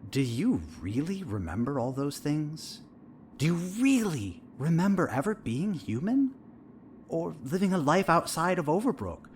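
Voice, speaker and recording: An actor playing a controlled, menacing male therapist in an audio drama, with measured, pointed questioning. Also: a little high, sing-song, slight background noise. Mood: pressing.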